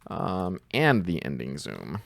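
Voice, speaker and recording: A man's voice: low voice, wildly swooping pitch, slow, creaky, dry recording. A man giving a casual coding tutorial, explaining things step by step in an informal way. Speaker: programming tutor.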